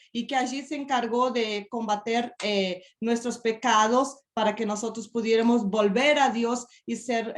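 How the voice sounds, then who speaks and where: A woman's voice: fairly high pitch, reverberant. A woman from Brazil with a Brazilian Portuguese accent, a native Portuguese speaker, preaching a sermon in a second language with careful, hesitant phrasing and emphatic questions.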